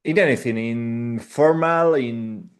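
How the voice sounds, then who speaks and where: A man's voice: medium pitch, takes it slowly, clean tone. Hesitant man asking a question. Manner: hesitant, searching for words. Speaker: podcast host. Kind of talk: interview questions.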